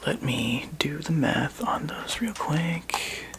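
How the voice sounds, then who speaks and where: A man speaking softly and breathily close to the microphone in an ASMR roleplay, playing a laid-back, wry video game store clerk who chats casually with a customer. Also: lively intonation, slow pace. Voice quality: breathy whisper and soft low murmur. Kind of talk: ASMR roleplay, one-sided customer chat.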